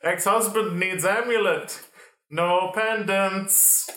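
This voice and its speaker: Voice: mid-range voice, fairly even intonation, takes it slowly, a bit bright. An improviser playing an earnest amulet salesman with clipped, broken phrasing in a spoof radio ad. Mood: earnest sales pitch. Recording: echoey room, distant from the mic.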